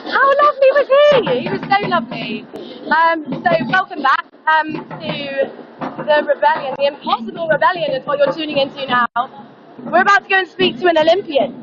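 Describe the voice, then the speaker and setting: A woman's voice: sits high, animated, a little fast. A woman hosting a casual live interview at a protest, speaking effusively. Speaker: live stream host, interviewer. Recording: muffled, loud music and crowd behind.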